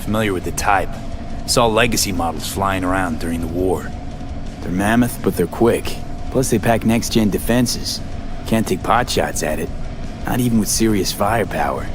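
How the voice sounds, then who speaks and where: A man's voice: low-pitched, moderate pace, noisy background, natural voice. A man playing a blunt, wary, street-smart mercenary in a video game, with clipped, dry delivery. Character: blunt, wary male mercenary in a cyberpunk city. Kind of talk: video game cutscene acting. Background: music.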